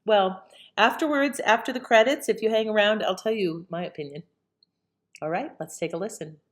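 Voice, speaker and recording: A woman's voice: mid-range voice, normal speed. A woman presenting a scripted introduction to a programme.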